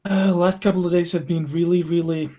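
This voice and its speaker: A young man with a Finnish accent, a game designer answering interview questions modestly, a bit nervous and halting. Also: a little high, steady tone, warm tone. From Finland.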